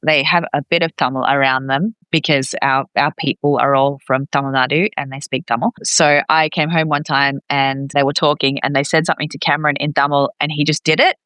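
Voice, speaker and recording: Female podcast guest, chatty and expressive, bright forward voice, dry close recording. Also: sits low, fairly quick, clear, resonant tone, no room sound. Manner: chatty, expressive, acts out reported dialogue. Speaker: podcast guest, expat parent. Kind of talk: casual conversational interview.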